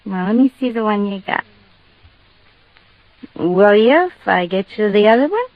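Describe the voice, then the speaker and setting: A woman's voice: mid-range voice, animated, normal speed, dry recording, lightly acted. An actress playing a gullible, tipsy gangster's girlfriend in a 1950s radio detective drama, slangy and easily flustered. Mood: wheedling, suspicious. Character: tipsy, gullible blonde moll, frightened of her boyfriend. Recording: dull, band-limited old radio recording.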